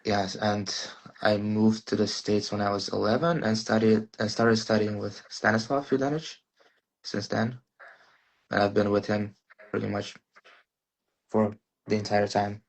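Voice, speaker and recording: A man's voice: fairly low pitch, little pitch movement, unhurried, creaky, rough recording. A man in his twenties from Uzbekistan, a native Russian speaker, chatting easygoing as a guest pianist over a phone live stream. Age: 20s. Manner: easygoing, self-deprecating, filler-heavy. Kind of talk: casual interview chat.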